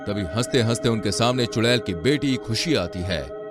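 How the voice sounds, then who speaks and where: Animated male storyteller over music. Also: lowish voice, normal speed. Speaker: story narrator. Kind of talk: dramatic scripted story narration.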